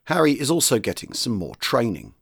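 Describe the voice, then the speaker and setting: Male presenter, slightly raspy, scripted history narration, dry close recording. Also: mid-range voice, huge pitch swings, fairly quick, no room sound. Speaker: podcast presenter. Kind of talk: scripted narration introducing diary readings.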